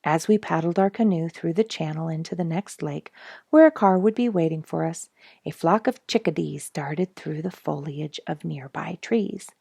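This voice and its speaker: A woman narrating a children's story aloud in a measured, deliberate way with dramatic emphasis. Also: low-pitched, creaky, warm tone, breathy edge, no room sound. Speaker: children's story reader, regular of the show.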